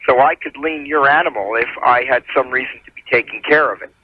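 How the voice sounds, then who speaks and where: A man's voice: mid-range voice, fast, narrow, tinny audio, a little hiss or hum. A man giving methodical, formal explanations as a legal adviser on a radio call-in show, over a telephone. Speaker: attorney calling in as legal adviser.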